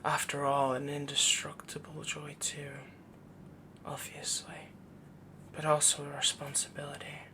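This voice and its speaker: A possessive, lovestruck werewolf boyfriend, acted by a man for intimate roleplay audio. He murmurs slowly and evenly in a soft, breathy whisper that breaks into gravelly fry. He sounds dominant yet adoring, with clear noise behind the voice. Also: fairly high pitch, monotone. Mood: devoted, possessive.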